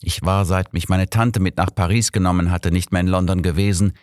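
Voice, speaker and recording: A man's voice: deep voice, flat delivery, brisk, darkish tone, no echo, clean studio sound, natural voice. Man voicing an earnest, sorrowful businessman. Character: earnest, sorrowful businessman from abroad, protesting innocence.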